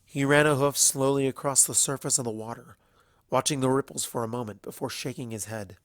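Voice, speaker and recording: A man's voice: medium pitch, brisk, dry recording. A man reading scripted fantasy fiction aloud as the narrator.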